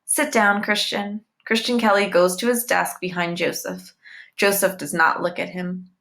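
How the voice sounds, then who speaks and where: Bright-voiced woman reading a story aloud. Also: on the low side, normal speed, vocal fry. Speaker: reader of a children's novel aloud. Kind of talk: read-aloud storytelling with short asides to listeners.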